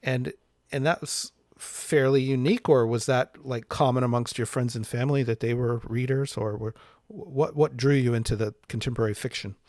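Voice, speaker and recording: A man co-hosting a book podcast, asking measured, searching questions. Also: medium pitch, steady tone, a little mellow, no echo, clean studio sound. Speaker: podcast co-host. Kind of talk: interview questions.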